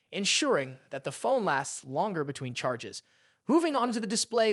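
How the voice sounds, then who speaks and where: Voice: medium pitch, no room sound, clean studio sound. A tech review presenter reading a scripted narration in a polished explainer style.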